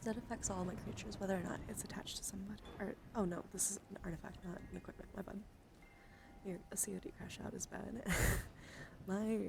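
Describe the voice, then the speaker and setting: A woman with a mid-pitched voice hosts a livestream in a gentle ASMR style. She speaks softly, often drops into a whisper and lingers on soothing words. Clear ambient noise sits behind her voice. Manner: soft-spoken, often dropping into whispers. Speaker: livestream host. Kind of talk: ASMR, soft chat to livestream viewers with card reading.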